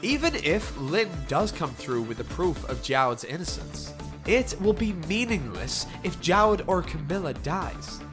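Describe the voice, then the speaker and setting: A woman's voice: lowish voice, very animated, unhurried. A woman voicing an anxious, wry amnesiac ghost who is determined to help, in a game fandub. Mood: wry, worried. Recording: voice under prominent music.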